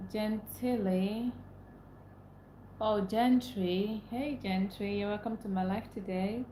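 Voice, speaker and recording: A woman's voice: medium pitch, warm tone. Young nigerian woman rambling on a livestream, repeats stuff, roomy echo, faint hum behind. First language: Igbo. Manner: chatty, repeats herself, laughs often. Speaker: livestream host. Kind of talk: casual livestream chat reading comments. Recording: echoey room, faint ambience.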